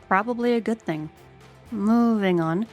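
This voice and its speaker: Mid-pitched woman giving scripted fan commentary. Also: measured pace, soft background music. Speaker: co-host of a fan recap show.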